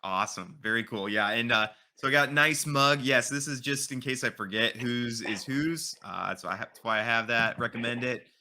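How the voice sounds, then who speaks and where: A man's voice: mid-range voice, moderate pace, hardly any pauses, sharp tone. A man hosting a livestreamed interview, asking casual, hesitant questions. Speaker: livestream host.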